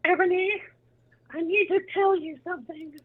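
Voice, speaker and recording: A woman voicing an earnest, aggrieved half-giant man with squeaky, drawn-out delivery in an amateur dramatic reading. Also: fairly even intonation, narrow, tinny audio. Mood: earnest, pleading.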